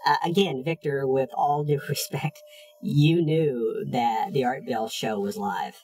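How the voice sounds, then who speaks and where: A woman's voice: rumbling low, unhurried, a little mellow, faint music behind, poor audio. A woman interviewing someone, pressing her questions in a persistent, politely challenging way.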